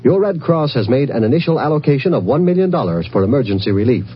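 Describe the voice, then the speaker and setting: Male announcer, earnest scripted appeal, solemn, lively pitch, 1950s radio, dull recording. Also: fast talker, slightly rough. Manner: solemn, urgent, rhetorical phrasing. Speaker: announcer reading a charity appeal. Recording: muffled old-time radio recording, faint hum.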